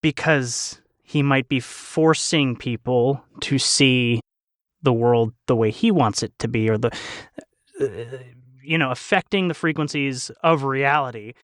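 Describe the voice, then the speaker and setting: Man, casual panel chat. Mid-pitched, jokey, speculative and rambling, dry sound. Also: dry recording. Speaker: podcast panel member, cast of an audio drama.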